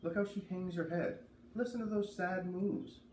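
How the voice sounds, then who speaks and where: A man's voice: sits high, fairly even intonation, moderate pace, darkish tone, roomy sound, a little hiss or hum, pitched above the performer's own voice, close to an everyday voice. A man voicing an earnest, eager young child who pleads persuasively.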